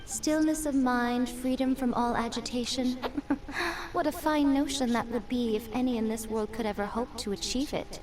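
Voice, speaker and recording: Woman doing a wise knowing shrine lady from a game, highish voice, lofty and measured, music behind. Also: close to an everyday voice. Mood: knowing, amused. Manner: measured, lofty, faintly amused. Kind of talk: video game voice acting.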